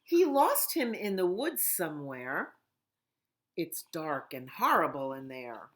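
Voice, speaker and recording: A middle-aged woman at home, a children's librarian talking gently and in a sing-song way during a storytime. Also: deep voice, sing-song, slow pace. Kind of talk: storytime reading and chat for children.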